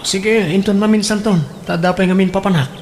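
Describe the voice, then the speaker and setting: A man playing an easygoing, evasive rascal in an Ilocano radio comedy drama, casual and animated, with an Ilocano accent. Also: sits high, a little fast, a little hiss or hum. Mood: playful, sheepish.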